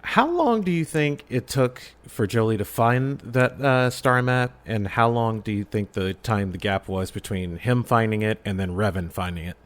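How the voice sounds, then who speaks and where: Low-voiced man chatting casually. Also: animated, moderate pace, hardly any pauses. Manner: playful, slips into exclaimed mock quotes of characters. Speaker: podcast guest, audio drama creator. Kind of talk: casual chat about game lore.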